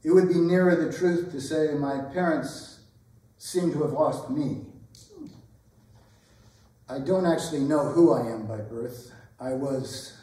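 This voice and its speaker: A man playing a polite, earnest and flustered gentleman suitor in a staged period comedy reading, formal and apologetic. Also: a little high, a little slow, natural voice. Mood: sheepish explaining. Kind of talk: theatrical readers' theatre performance of a period comedy. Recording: echoey hall, distant microphone.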